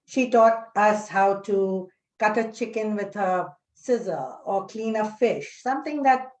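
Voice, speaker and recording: A woman introducing herself as a panelist, speaking in measured short phrases with pauses. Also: mid-range voice, a little mellow. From Karachi, Pakistan. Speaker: panelist, recipe curator. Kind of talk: personal introduction at a panel talk. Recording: echoey room sound.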